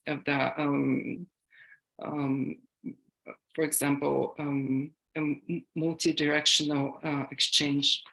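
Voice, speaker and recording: A woman from Bulgaria answering an audience question on a video call, speaking haltingly with many fillers and restarts. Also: low voice, gravelly, low-quality recording. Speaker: guest speaker.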